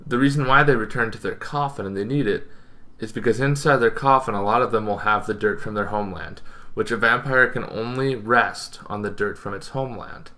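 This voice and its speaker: A young man hosting an informal explainer to camera, speaking emphatically with halting, dramatic pauses. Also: on the low side, bright, forceful tone. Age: young adult. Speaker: host of a tabletop gaming series. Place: bedroom, indoors.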